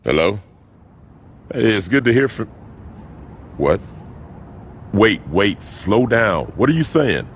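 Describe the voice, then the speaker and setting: Deep-voiced, commanding gang boss. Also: male voice, big pitch swings, slow pace, pauses often, a little hiss or hum. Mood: placating, concerned. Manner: authoritative, menacing. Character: commanding, menacing gang boss, proud and controlled. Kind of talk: video game cutscene acting.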